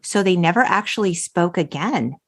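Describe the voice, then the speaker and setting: A woman hosting a podcast in a conversational but articulate style, expressive and engaged, moving between recap and chat. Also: lowish voice, normal speed. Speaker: podcast host. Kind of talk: conversational hosting, part scripted film recap.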